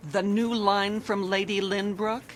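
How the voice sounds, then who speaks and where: Radio drama role: bossy woman editor, medium pitch, clipped and demanding, played by an actress, faint noise behind. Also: little pitch movement, unhurried, natural voice. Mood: impatient. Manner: curt, bossy. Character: demanding, impatient woman magazine boss.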